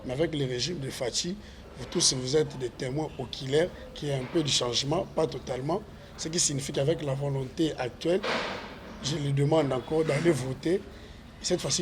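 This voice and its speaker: A man's voice: a little high, a little hiss or hum. A man, a parliamentary candidate answering interview questions with earnest, repetitive appeals to voters. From Congo.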